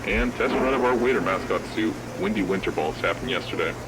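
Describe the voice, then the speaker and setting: A man's voice: fairly low pitch, lively intonation, a little fast, close to an everyday voice. A man playing an earnest, upbeat 1970s restaurant founder recording a casual, musing progress log for an analog horror series. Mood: earnest, businesslike. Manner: casual, musing, hesitant note-checking. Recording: noisy vintage tape-style recording.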